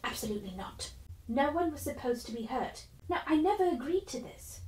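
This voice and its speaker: A woman's voice: sits high, big pitch swings, brisk, a little mellow. A woman voicing a guilt-stricken woman who insists she never wanted anyone hurt, in a video game. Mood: defensive. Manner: defensive, insistent. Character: guilt-stricken woman denying blame for an attack. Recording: roomy, from a distance.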